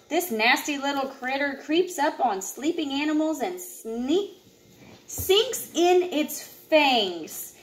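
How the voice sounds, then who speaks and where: A woman in her thirties reading a children's nature book aloud at home in an expressive way, with asides to young listeners. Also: sits high. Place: indoors, open living room and kitchen at home. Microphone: camera a short distance away. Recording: echoey room with clear background noise.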